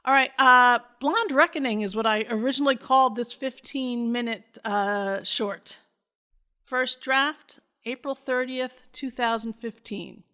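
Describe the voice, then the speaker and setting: Bright-voiced woman podcaster. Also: fairly high pitch, measured pace. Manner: informal, self-deprecating, sweary. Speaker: podcast host. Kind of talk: casual chat and reading aloud a script.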